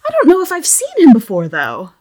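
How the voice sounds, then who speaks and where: A woman acting naive young woman, high voice, wildly varied intonation, hesitant, apologetic, tabletop game. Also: wildly swooping pitch, brisk, warm tone, heavily acted. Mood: bewildered.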